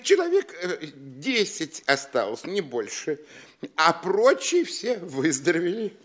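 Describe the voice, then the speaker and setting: An unctuous hospital overseer in a classic radio comedy. He is deferential yet smugly boastful, speaking slowly with hugely varied, theatrical intonation. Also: fairly high pitch, wildly swooping pitch, fairly bright tone, heavily acted. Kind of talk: classic Russian stage-comedy radio acting.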